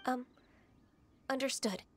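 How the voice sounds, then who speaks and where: A woman voicing a gentle, earnest, self-doubting young immortal in training in a video game, speaking politely and with measured care. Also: high-pitched, a little hiss or hum. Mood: dutiful. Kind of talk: video game character acting.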